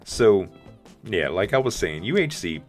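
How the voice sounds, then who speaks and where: Deep-voiced man with a rough morning voice. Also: very animated, measured pace, soft background music. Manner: rambling, thinking aloud while building. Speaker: let's play host. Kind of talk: casual gameplay commentary.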